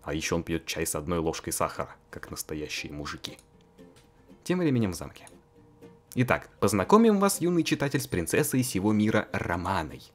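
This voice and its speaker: A man's voice: sits low, sing-song, brisk, soft background music. A man narrating a fan-voiced visual novel in a joking, mocking way, full of crude slang and frequent laughter.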